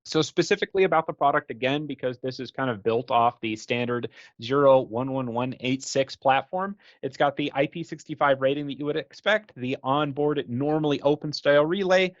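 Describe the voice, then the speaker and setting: An American man talks through a product presentation in a casual, conversational manner. His voice sits at an average pitch and he peppers his explanations with "you know". The recording is very dry and a little dull, with lo-fi sound. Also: no room sound. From United States.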